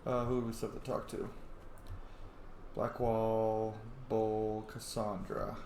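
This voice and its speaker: A man's voice: fairly low pitch, flat delivery, slow, a little mellow. A man giving casual, sweary, opinionated commentary while playing through a roleplaying game. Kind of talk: casual reactive playthrough commentary. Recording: roomy sound, faint game noise behind.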